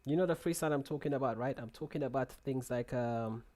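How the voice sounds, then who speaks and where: A young man talks casually through a headset on a live trading stream. He answers chat messages as they come in, often restarting and repeating words. His voice sits at a middle pitch for a man. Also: fast talker, a little mellow. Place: small room indoors.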